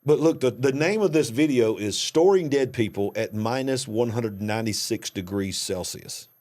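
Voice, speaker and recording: A man's voice: mid-range voice, normal speed, darkish tone. An American man hosting a casual reaction talk show, emphatic and joking with a preacherly edge. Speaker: host.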